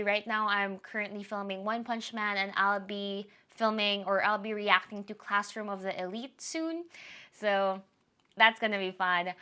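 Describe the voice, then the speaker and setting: Bright-voiced woman chatting to camera. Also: mid-range voice, smooth. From Philippines. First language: Tagalog. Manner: chatty, apologetic, rambling with self-corrections. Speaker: YouTuber giving a channel update. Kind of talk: casual chat to camera.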